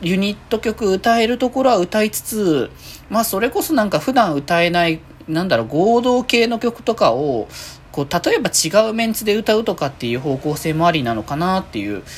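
A woman's voice: medium pitch, lively intonation, normal speed, breathy edge. A woman hosting a casual solo podcast, rambling along and often correcting herself as she goes. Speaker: podcast host. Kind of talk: casual solo free talk.

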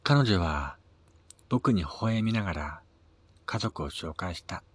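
A man with a husky voice narrating a scripted first-person radio drama, his phrase endings trailing into a breathy near-whisper. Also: low voice, expressive, slow pace, no room sound. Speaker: reader of own short story.

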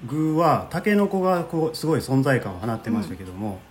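A man's voice: mid-range voice, big pitch swings, fairly quick. A man with a Kansai accent, hosting a casual food-tasting chat in a teasing, explanatory way. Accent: Kansai Japanese. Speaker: host, amateur drama troupe leader. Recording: soft plucked guitar music behind. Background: light guitar background music.

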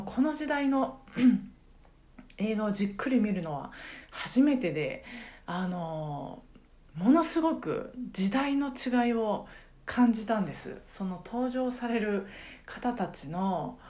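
A middle-aged woman chatting casually on a solo podcast, reflective and halting as she searches for words. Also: mid-range voice, warm tone. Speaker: podcast host and karaoke teacher.

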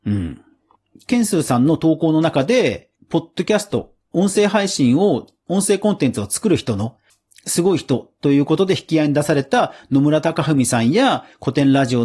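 Male podcast host, mellow tone, slightly raspy, lively intonation, casual explanatory commentary, conversational. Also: on the high side. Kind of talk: casual solo news commentary.

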